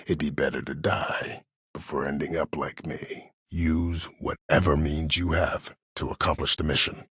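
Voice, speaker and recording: An actor playing an imprisoned, disgraced admiral in a video game, a calculating schemer who speaks with cold courtesy in measured, formal, deliberate phrases. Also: male voice, rumbling low, fairly even intonation, fairly bright tone, slightly rough, no echo, studio quality, full character voice. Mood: calm, calculating. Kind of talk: video game character dialogue.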